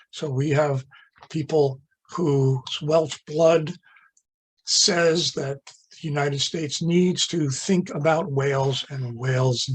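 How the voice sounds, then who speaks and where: Husky chatty man giving a lecture. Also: a little high. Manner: chatty and amused, with fillers and asides. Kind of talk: slide-show lecture.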